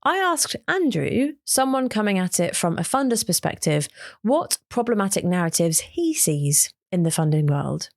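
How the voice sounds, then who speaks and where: Podcast narrator, female, lively and varied intonation, recorded dry. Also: lowish voice, moderate pace, no room sound. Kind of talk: scripted narration.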